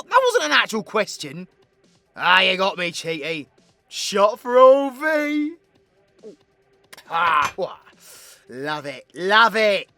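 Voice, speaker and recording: A cocky British male supervillain played by a voice actor with a bright, forceful edge over music. He swings widely in pitch as he spins his tale, teasing, swearing and flirting with theatrical relish. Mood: boisterous. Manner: rambling, teasing, dramatic storyteller, sweary. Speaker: audio roleplay voice actor. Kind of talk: villain ASMR roleplay monologue. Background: background music.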